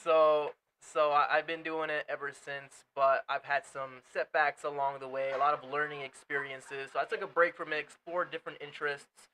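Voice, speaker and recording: A man's voice: a little high, flat delivery, normal speed, few pauses, sharp tone, a little hiss or hum, rough recording. A young man from Portugal, a native Portuguese speaker, chatting earnestly as a guest about his business and family, often restarting his words. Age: young adult. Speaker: guest, entrepreneur. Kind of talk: casual interview chat.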